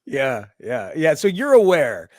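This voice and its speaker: A man's voice: a little high, huge pitch swings, a little slow, darkish tone. A middle-aged man hosting a conversational sports interview, encouraging, repeating phrases as he forms his thoughts. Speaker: sports radio host, interviewer.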